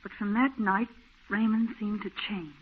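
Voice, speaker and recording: A woman's voice: medium pitch, steady tone, moderate pace, a little hiss or hum, lightly acted. A woman acting an anxious, lovelorn young woman in an old-time radio drama, speaking earnestly and emotionally. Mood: troubled. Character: anxious, lovelorn young woman fretting over her troubled fiancé. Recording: muffled, narrow-band old radio recording.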